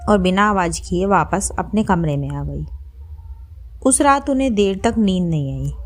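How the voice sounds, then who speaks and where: Female storyteller for scripted narration, mid-range voice. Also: mid-range voice.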